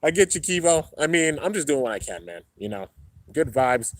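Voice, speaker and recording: A man's voice: big pitch swings, moderate pace, dry recording, rough recording. A young man hosting a casual livestream and reading viewer comments, laid-back and rambling, a little congested. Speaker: YouTube host reading live chat. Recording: low-end home stream setup.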